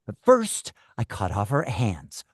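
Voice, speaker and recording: A man's voice: mid-range voice, huge pitch swings, slow, husky, no echo, studio quality, full character voice. A man playing a cruel, volatile kidnapper in a script read, curt and commanding. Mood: threatening. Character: menacing male kidnapper, volatile and cruel, on the phone. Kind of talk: dramatic script table read.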